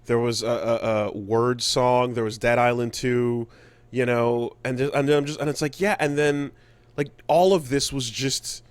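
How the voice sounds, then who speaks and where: Casual, sweary male podcast co-host, medium pitch. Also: steady tone, normal speed, smooth. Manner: informal, sweary. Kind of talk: casual podcast chat, commentary.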